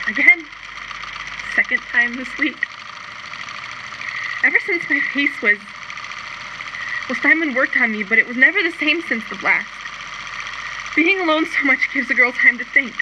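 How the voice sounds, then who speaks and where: A woman's voice: high voice, animated, moderate pace, pauses often. A woman playing a lonely, embittered young showgirl confiding in a plaintive, colloquial radio drama monologue. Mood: wounded, confiding. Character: scarred, lonely, embittered young showgirl keeping audio diary. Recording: dull lo-fi recording, loud background noise.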